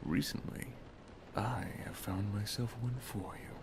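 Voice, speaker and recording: Over clear background noise comes a low-pitched male wizard master , acted by a man, speaking softly and intimately. He is a doting, gently teasing mentor and boyfriend in an ASMR roleplay, measured in his delivery. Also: fairly even intonation. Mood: teasing, commanding.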